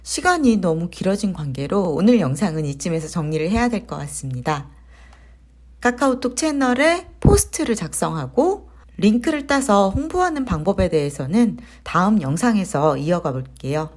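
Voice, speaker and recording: Lively-voiced woman explaining a tutorial. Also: lowish voice, moderate pace. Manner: friendly, explanatory, with fillers. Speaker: marketing instructor. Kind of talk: screen-share tutorial.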